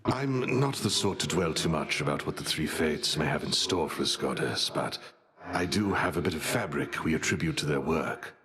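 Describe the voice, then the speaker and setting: A man playing a sly, self-assured figure of the underworld in a video game, with a cool, unhurried delivery. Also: extremely low pitch, expressive, crowd noise.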